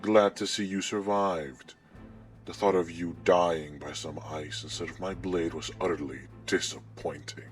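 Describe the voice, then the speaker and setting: A man playing a menacing, commanding Sith lord in a sci-fi audio drama, speaking in a measured, imperious way with a cold, taunting edge. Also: rumbling low. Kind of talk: scripted sci-fi audio roleplay acting. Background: background music.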